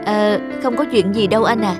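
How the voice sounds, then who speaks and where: A woman playing a modest, self-effacing young wife in a cartoon, gentle and hesitant. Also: medium pitch, fairly quick, close to an everyday voice. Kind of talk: cartoon dialogue. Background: music.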